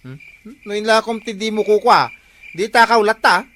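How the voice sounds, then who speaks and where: A man's voice: sits high, normal speed, a bit bright, a little hiss or hum. An actor playing an indignant village watchman in an Ilocano radio comedy, scolding and accusing with exclamations in an Ilocano accent.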